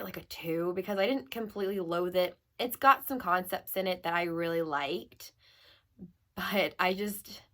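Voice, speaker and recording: A woman book vlogger chatting casually and informally to her viewers with rambling asides, her voice a little gravelly as she recovers from being ill. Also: fairly low pitch, a bit bright. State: recovering from illness, slightly gravelly voice. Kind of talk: casual chatty monologue to camera.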